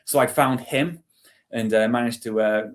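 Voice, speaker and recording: A man's voice: medium pitch, normal speed. Chatty British man in his forties. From England. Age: 40s. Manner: chatty, self-deprecating. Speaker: interview guest, army veteran. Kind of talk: casual storytelling.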